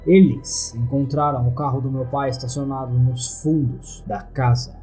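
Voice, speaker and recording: A man with a Brazilian accent narrating a first-person horror story in a grave, measured way. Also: mid-range voice, a little mellow. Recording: faint music bed behind narration.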